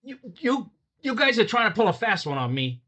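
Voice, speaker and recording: An actor playing a nervous small-time crook under questioning, whining and stammering in a radio drama. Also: male voice, high voice, very animated, a little fast, bright, forceful tone, exaggerated voice. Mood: whiny. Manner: whiny and stammering.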